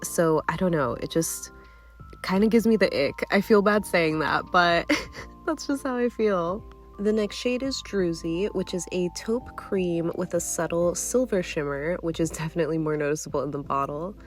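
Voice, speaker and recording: Young woman, stuffy nose, lowish voice, chatty and candid, faint music underneath. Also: moderate pace. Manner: chatty, candid, hedging with fillers. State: nasally congested. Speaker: nail polish reviewer. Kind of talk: casual product review vlog.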